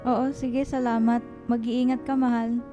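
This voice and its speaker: An actress playing a snappish, defensive teenage eldest daughter in a radio drama, with a Filipino accent. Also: female voice, a little high, level tone, moderate pace, over music, lightly acted. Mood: nervous, meek. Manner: bratty, defensive. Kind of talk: Tagalog radio drama acting.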